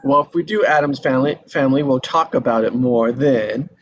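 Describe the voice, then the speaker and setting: A man leads a casual group chat in a mid-pitched voice. The sound is slightly muffled, with clear noise behind him. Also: brisk. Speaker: host of a group discussion. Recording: muffled video call with clear background noise.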